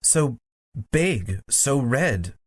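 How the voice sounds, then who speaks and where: A man's voice: mid-range voice, big pitch swings, very slow, no echo, exaggerated voice. A man voicing an earnest, curious young boy in an audiobook, with an eager, questioning manner.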